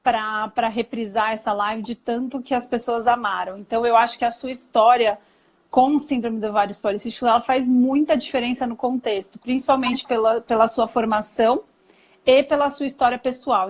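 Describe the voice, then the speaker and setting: A woman's voice: on the high side, fairly even intonation, fairly quick. Admiring woman hosting a live chat. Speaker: doctor, live stream host. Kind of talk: live video chat interview. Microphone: video call.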